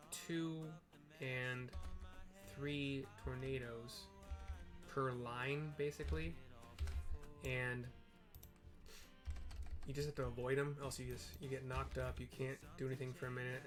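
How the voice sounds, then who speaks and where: A man's voice: medium pitch, level tone, measured pace, pauses often, music in the background. A man giving casual, hesitant gameplay commentary, thinking aloud as he describes what happens on screen. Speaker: gameplay streamer. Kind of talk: casual game commentary with narrated descriptions of the action.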